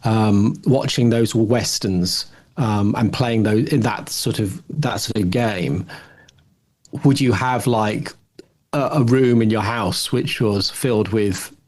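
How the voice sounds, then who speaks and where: A man's voice: lowish voice, takes it slowly, darkish tone. A middle-aged man talks as a podcast co-host in loose, casual conversation. He thinks aloud, hedging and circling around his points in a rambling, reflective manner. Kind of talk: casual chat.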